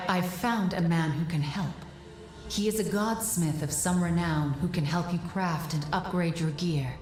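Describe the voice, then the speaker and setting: A woman plays a sentient guide in a fantasy game, with a lowish, strongly echoing voice. She sounds calm, wise and composed, giving deliberate instruction over clear background music. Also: natural voice. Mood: solemn guidance. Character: calm, wise female guide, a sentient sanctum advising a warrior. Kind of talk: video game cutscene acting.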